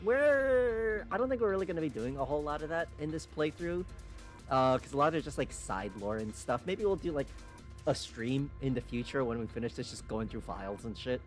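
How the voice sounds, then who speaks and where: A man playing a game and commentating casually, swearing and getting excitable as he goes. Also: fairly high pitch, a little fast. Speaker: streamer, main player. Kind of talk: casual gameplay commentary and dramatic read-aloud. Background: background music.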